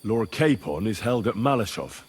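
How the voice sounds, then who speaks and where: Young squire for medieval RPG, husky, animated intonation, earnest, courteous, plain-spoken and determined. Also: male voice, fairly low pitch, normal speed. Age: young adult. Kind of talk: video game cutscene acting.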